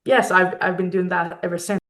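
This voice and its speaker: A woman's voice: fairly low pitch, little pitch movement, fairly quick, a bit bright. A woman from Israel speaking reflectively as an interview guest, hesitantly searching for words and often adding "like" and "kind of". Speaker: interview guest, fine art photographer.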